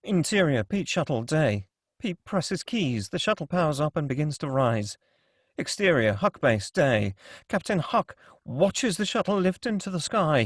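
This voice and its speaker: A man's voice: high-pitched, no room sound, studio quality. A man reading scripted screenplay action and scene headings as the narrator of a table read. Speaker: narrator reading stage directions.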